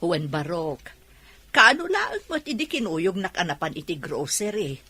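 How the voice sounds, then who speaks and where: A woman acting a reassuring, motherly older housekeeper in an Ilocano radio drama, with a husky voice. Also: lowish voice, sing-song, normal speed, pushed and bright, heavily acted.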